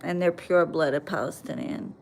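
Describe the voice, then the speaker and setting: A woman's voice: deep voice, moderate pace, darkish tone, slight background noise. An American woman chatting bluntly on a livestream, reading viewer comments and answering them with plenty of swearing. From United States. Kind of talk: casual livestream chat.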